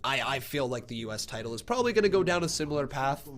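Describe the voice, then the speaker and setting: Stuffy-nosed male stream host, casual chat mixed with excited ringside calls. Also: mid-range voice, fast talker. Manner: chatty, thinks aloud, bursts into excited play-by-play. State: congested from a cold. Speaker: live stream reaction host. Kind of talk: casual live reaction chat and commentary.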